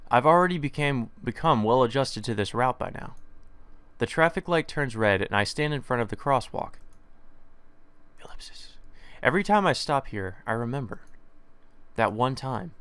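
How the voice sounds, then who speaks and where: A man's voice: medium pitch, fairly bright tone, smooth, dry recording. A young man reading a visual novel aloud as a let's play narrator, earnest and often correcting himself mid-line. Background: guitar music.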